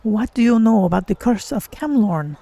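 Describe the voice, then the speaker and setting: A streamer voicing a polite, curious cat-folk adventurer in a measured, formal manner while roleplaying a game. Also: mid-range voice, animated, creaky, warm tone. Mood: composed, inquiring.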